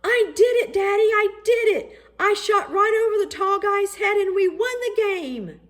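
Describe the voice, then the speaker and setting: Earnest young boy for a storybook, performed by a woman, expressive, pitched up from her own voice. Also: a little slow, exaggerated voice. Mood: elated, shouting. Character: young boy, earnest, dejected, then excited and determined. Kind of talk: children's storybook read-aloud.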